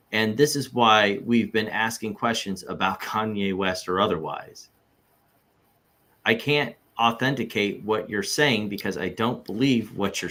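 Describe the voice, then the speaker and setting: A man's voice: on the low side, sharp tone. A man playing an evasive, combative witness in a read-aloud deposition, guarded, curt and defensive. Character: evasive, combative male witness under questioning.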